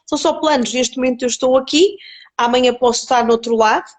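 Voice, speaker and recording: A woman with a Coimbra Portuguese accent tinged with Porto and Terceira, speaking thoughtfully as an interview guest, with many pauses and repeated words. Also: sits high. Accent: Coimbra Portuguese mixed with Porto and Terceira. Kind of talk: reflective conversational interview.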